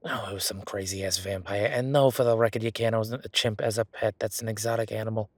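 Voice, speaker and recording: A man's voice: fairly low pitch, fairly even intonation, a little fast, no room sound. A man playing a defensive, chatty government records clerk in an audio roleplay, talking in a rambling, colloquial way. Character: male records hall clerk, defensive, chatty, lazy bureaucrat. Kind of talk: solo audio roleplay, conversational acting.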